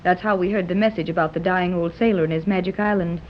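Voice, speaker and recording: A woman's voice: on the low side, fairly even intonation, fast talker, dull audio, slight background noise, natural voice. An actress playing a composed, determined wealthy woman in a 1930s radio serial, from an old recording. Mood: gentle. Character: gracious determined wealthy mother, adventurer.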